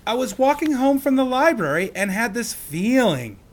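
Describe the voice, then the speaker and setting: A man reading a storybook aloud, voicing an eager young girl who shouts with excitement. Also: very high-pitched, normal speed, voice pushed up, heavily acted. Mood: excited.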